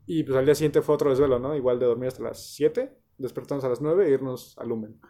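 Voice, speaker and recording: A man's voice: mid-range voice, quick pace, warm tone. A young man, an architecture student telling stories in an easygoing, casual chat. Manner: easygoing storyteller, laughs often.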